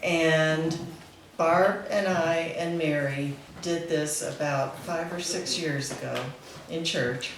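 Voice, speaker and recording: A woman's voice: sits low, fairly even intonation, slow, a little hiss or hum. A woman giving a casual, informal spoken introduction to a song at a live gathering. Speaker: amateur singer introducing a song at a memorial. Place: large echoey room at a live gathering. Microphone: distant microphone. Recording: echoey hall, picked up from far off.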